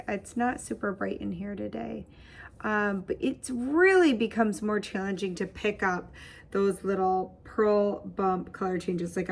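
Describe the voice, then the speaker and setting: Bubbly woman host with a husky voice. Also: medium pitch. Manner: bubbly, chatty, laughs often, gestures while talking. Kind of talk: casual knitting podcast, answering questions. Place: home craft studio, indoors. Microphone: webcam framing at desk.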